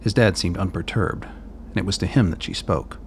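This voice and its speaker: A man's voice: low voice, moderate pace, creaky, a little hiss or hum. A man narrating a scripted radio play as its storyteller.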